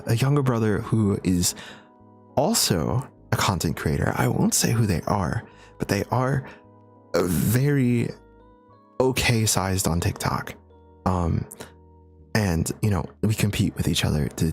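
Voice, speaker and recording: A young man chats through a livestream Q&A in an easy, rambling way, laughing often. His voice sits a little low, with a gravelly, husky texture. Soft music plays faintly behind him. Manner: laid-back, chatty, laughs often, many fillers. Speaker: streamer. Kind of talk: casual livestream Q&A chat.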